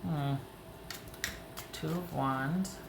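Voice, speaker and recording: A woman reading tarot cards to camera in a casual way, a little hoarse, thinking aloud as she pulls the cards. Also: deep voice, drawn-out words, roomy sound, background noise. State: slightly hoarse. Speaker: tarot reader.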